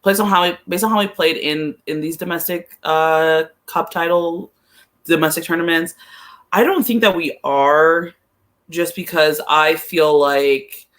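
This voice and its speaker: Casual football pundit, mid-pitched voice, thinks out loud and qualifies opinions in relaxed podcast conversation. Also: a little slow. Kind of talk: casual sports podcast discussion.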